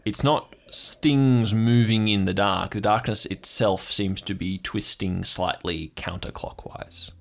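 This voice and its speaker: A man's voice: fairly low pitch, unhurried, creaky, hardly any pauses. A man improvising descriptive narration as game master of an audio drama, setting scenes in the second person. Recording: music playing behind the voice. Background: music.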